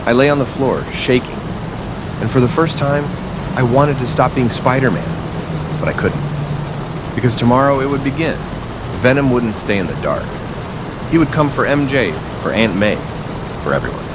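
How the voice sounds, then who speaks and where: A man narrating a dark scripted story in the first person, slowly and seriously, with dramatic pauses. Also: medium pitch, lively intonation. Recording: loud city ambience and rain noise. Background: rain and city traffic ambience.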